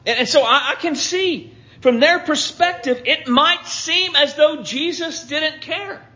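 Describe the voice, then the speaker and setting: A man's voice: extremely high pitch, measured pace, sharp tone, lo-fi sound. An American man preaching a sermon in a conversational way, repeating phrases for emphasis. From United States.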